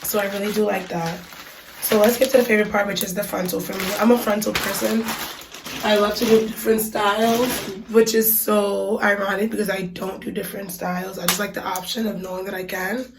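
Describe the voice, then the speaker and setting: A woman's voice: mid-range voice, noisy background. A woman with a stuffy voice from a cold, chatting casually through a hair unboxing review with lots of ums and likes. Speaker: beauty vlogger reviewing hair. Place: small bathroom. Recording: echoey room, mic at a distance.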